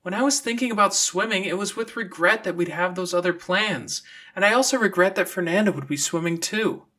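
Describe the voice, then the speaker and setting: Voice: mid-range voice, fairly quick, sharp tone, voice pushed up. A narrator voicing an earnest, defensive, easily flustered teenage girl in an audiobook.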